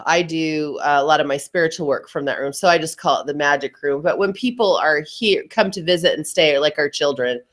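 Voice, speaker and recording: A middle-aged woman with a low voice, deepened by allergies, talks as a podcast co-host. She chats informally and puts emphasis on what she feels. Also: a little fast, few pauses. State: voice lowered by allergies. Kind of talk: casual chat.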